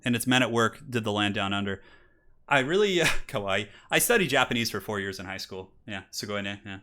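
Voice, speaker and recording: A bright, chatty man in his thirties hosts a live game stream. He reads out donations and chats with viewers, then casts a strategy game match. His match intros rise to hyped announcing. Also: on the low side, animated, brisk. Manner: chatty, reads donations aloud, laughs often. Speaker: streamer and tournament caster. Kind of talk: casual live-stream chat and game commentary.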